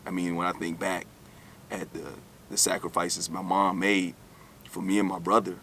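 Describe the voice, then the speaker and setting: A man's voice: low voice, flat delivery, normal speed, sharp tone, slight background noise. A man from the United States, raised in Alabama, speaking candidly and conversationally as an interview guest, often repeating and circling back over his phrases. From United States, born in New York, raised in Alabama. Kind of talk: candid personal storytelling in an interview.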